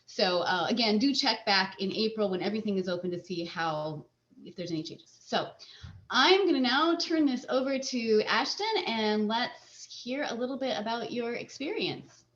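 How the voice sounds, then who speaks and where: A woman's voice: fairly high pitch, lively intonation, moderate pace, a bit bright, dull audio. A woman advising students in an online info session, upbeat with filler ums, speaking over a video call. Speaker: fellowship advisor.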